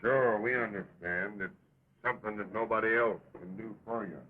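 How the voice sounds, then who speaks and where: An actor playing a loyal, easygoing American cowboy pal with folksy talk, in early western talkie style. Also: male voice, lowish voice, steady tone, moderate pace, natural voice. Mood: loyal, sober. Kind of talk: early 1929 western talkie film acting. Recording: noisy, tinny 1920s film soundtrack.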